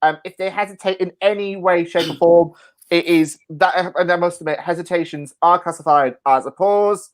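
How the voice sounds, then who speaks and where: A woman hosting a panel game in a playful, excitable, teasing manner. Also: lowish voice, moderate pace. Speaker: host of a panel show.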